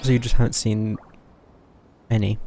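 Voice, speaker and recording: A man's voice: on the low side, level tone, normal speed, mellow, slight background noise. A man giving casual live gameplay commentary, muttering to himself and reading game text aloud. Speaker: game streamer. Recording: game music behind.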